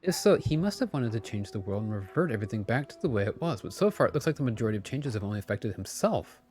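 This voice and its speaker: A man's voice: lowish voice, very animated, fast talker, a little mellow. A man live-streaming a game with casual, rambling commentary, often correcting himself as he goes. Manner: rambling, self-correcting, chatty. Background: game music.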